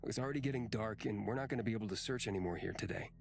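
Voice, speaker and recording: A man's voice: on the low side, very fast, gravelly, soft background music. A man voicing a grumpy, sarcastic young man in a visual novel, dry, cutting and impatient. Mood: resigned.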